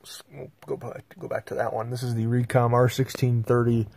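An American man with a slightly gravelly voice giving a casual, halting, rambling video tour indoors on a handheld phone. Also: medium pitch, little pitch movement, darkish tone, slight background noise. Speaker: host, hobbyist collector. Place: small bedroom, indoors. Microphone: handheld phone, speaker behind the camera.

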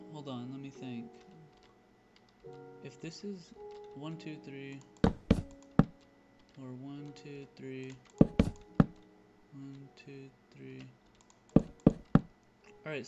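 A young man giving casual gaming commentary, thinking aloud in a hesitant way and muttering to himself. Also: fairly high pitch. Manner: hesitant, muttering counts to self. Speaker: gaming video host. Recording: dull lo-fi mic, loud piano music. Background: loud piano background music.

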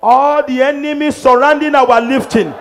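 A middle-aged man with a Nigerian accent leading prophetic prayers, shouting declarations into a handheld microphone in a large church. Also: very high voice, steady tone, normal speed, a little hiss or hum. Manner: fervent, shouting declarations, repeating lines for the crowd. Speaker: pastor. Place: large church auditorium, on a stage at a pulpit.

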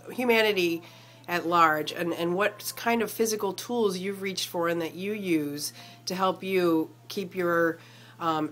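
A woman acts as host of an informal interview recorded on a phone. Her voice sits a little on the low side. She talks in an affectionate, effusive way, as if among close friends. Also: fairly bright tone. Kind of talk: casual introduction and interview on a homemade recording. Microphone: phone passed between speakers.

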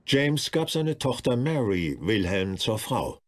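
A man's voice: mid-range voice, big pitch swings, takes it slowly. A man narrating a historical documentary from a script.

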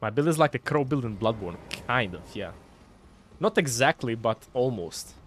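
A young man with a Croatian accent, excitedly commenting on his game live, swearing at bosses and chatting with viewers through a headset. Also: medium pitch, normal speed, slight background noise. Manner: excitable, swears and laughs while fighting bosses. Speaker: game streamer. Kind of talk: live gameplay commentary reading chat. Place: home streaming room, indoors.

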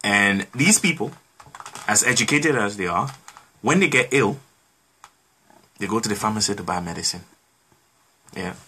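A man's voice: fairly low pitch, lively intonation, normal speed, pauses often, pushed and bright, husky. A young man appearing as a talk show guest in a small home studio, explaining his point through everyday examples and building it up by repetition. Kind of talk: explanatory conversation.

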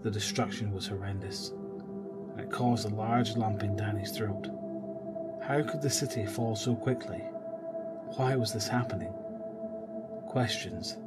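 A man's voice: lowish voice, a little slow, pauses often, over loud music. A man narrating a scripted horror audio drama.

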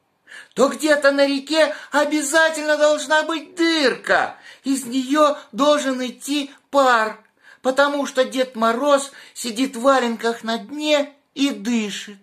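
A man voicing a small, curious boy hedgehog in a children's story, with a high, eager voice. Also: steady tone, slow pace, a bit bright, full character voice. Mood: wondering. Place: small room at home, indoors.

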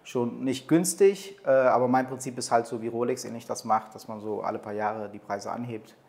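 A man in his thirties from Portugal, a guest talking in a relaxed way with plenty of hesitations. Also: medium pitch, moderate pace, vocal fry, a little hiss or hum. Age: 30s. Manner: relaxed, hesitant with many fillers. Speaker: guest, entrepreneur. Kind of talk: interview conversation. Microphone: desk microphone on boom arm.

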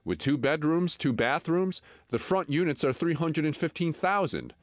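A man's voice: a little high, lively intonation, moderate pace, dry recording. A man reporting a local news feature, narrating and interviewing people outdoors on residential streets.

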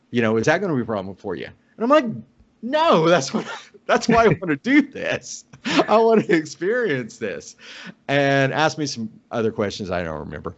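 A middle-aged American man from Oklahoma telling a casual personal story as a podcast guest on a video call. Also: medium pitch. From Oklahoma, United States. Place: indoor room on a video call. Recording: dull lo-fi video call audio.

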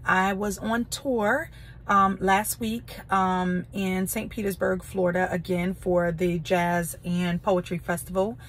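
A slightly hoarse middle-aged woman, a life coach, talking casually and reflectively to her followers in an encouraging way. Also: lowish voice, flat delivery, slow. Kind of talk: casual motivational talk to camera. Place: inside a parked car. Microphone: phone at arm's length. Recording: noticeable background noise.

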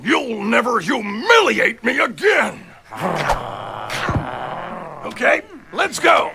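Proud, exasperated cartoon bear, slow and gravelly, whiny and indignant, loud music underneath. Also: male voice, extremely high pitch, very animated, heavily acted. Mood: furious. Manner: blustering, whining, indignant. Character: big blustering hot-tempered bear, proud and easily exasperated. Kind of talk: exaggerated dubbed cartoon acting.

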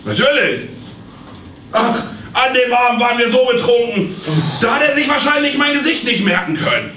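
A man's voice: extremely high pitch, expressive, normal speed, slight background noise, heavily acted. A man playing a deranged, muddled and boisterous doctor, an incompetent psychotherapist in a live comedy radio play. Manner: loud, muddled, exclamatory. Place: small theatre stage. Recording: distant camera in echoey theatre.

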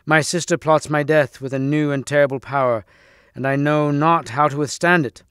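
A man's voice: a little high, fairly even intonation, moderate pace, fairly bright tone, clear, resonant tone, no echo, clean studio sound, close to an everyday voice. A man voicing a grave, noble, troubled king from a legend, with solemn, courtly, archaic speech. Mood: troubled, resolute.

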